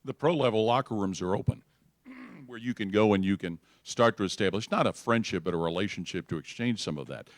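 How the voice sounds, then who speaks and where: Deep male sportscaster explaining. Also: expressive, brisk, no echo. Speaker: sports broadcaster, panelist. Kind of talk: unscripted explanatory panel talk.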